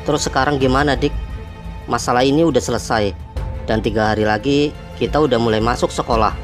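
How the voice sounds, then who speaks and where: Casting: man as nervous young amateur sleuth for a cartoon, casual slang, medium-pitched, over music. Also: a little fast, lightly acted. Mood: reflective. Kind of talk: Indonesian cartoon dialogue, colloquial. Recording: music behind the voice.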